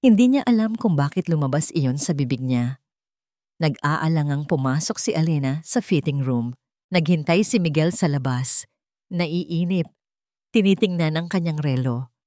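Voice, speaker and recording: A woman narrating a romance story in a dramatic, expressive reading style. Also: deep voice, normal speed, no echo, clean studio sound.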